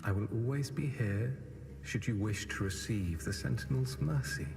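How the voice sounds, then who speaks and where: An actor playing a pious, coercive acolyte in a video game, polite and sanctimonious. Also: male voice, fairly low pitch, normal speed, creaky, a little mellow. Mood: veiled menace. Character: smooth-talking male religious acolyte, pious and coercive. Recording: long reverb, faint game music.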